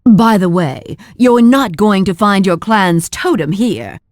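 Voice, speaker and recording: A woman's voice: mid-range voice, animated, takes it slowly, dry recording, clean studio sound. An actress playing a cruel, haughty sorceress villain in a video game, mocking and theatrical, drawing out her words.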